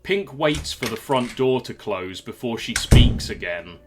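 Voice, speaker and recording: Male narrator for story audio; middle pitch, scripted delivery, clear music bed underneath. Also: expressive, measured pace.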